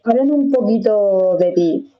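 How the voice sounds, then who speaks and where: A woman hosts an interview, chatty and encouraging, tossing in little tag questions. Her voice sits at a middle pitch, and the recording sounds dull and lo-fi. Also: lively intonation, measured pace. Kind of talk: friendly casual interview.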